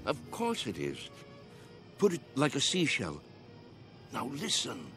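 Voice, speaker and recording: A man's voice: mid-range voice, huge pitch swings, takes it slowly, over music, heavily acted. An actor playing a spirited, pleading old man in a radio drama, earnest, coaxing and dreamy in his delivery. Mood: urgent pleading.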